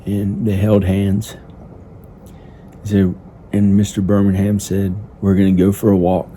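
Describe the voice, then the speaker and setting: A man improvising a first-person story in a halting, simple, repetitive manner, with hesitant pauses. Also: sits low, monotone, dark tone. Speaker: amateur storyteller narrating own improvised story. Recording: noticeable background noise. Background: ambient sound effects.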